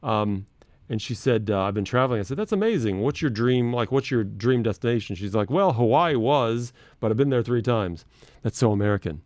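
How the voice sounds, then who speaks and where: A man's voice: medium pitch, animated, a little fast, darkish tone, no room sound. Chatty male radio host, dry studio. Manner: chatty, laughs easily, storytelling. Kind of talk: casual radio chat.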